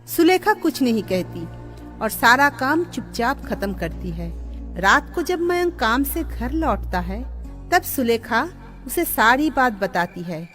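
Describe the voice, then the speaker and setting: High-pitched, animated woman storyteller. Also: slow. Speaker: narrator. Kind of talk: scripted moral-story narration. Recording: music under the voice.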